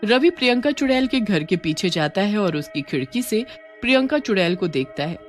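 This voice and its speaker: A woman's voice: medium pitch, big pitch swings, moderate pace, soft background music. A woman delivering scripted narration of a horror story as its storyteller.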